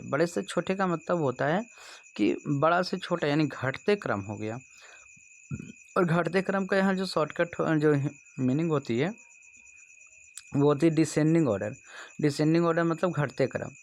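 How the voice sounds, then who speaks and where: Higher-voiced Bihari man teaching. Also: slight background noise. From India. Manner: instructive, repeatedly checks understanding. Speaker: computer teacher. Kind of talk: explanatory exam-prep lesson.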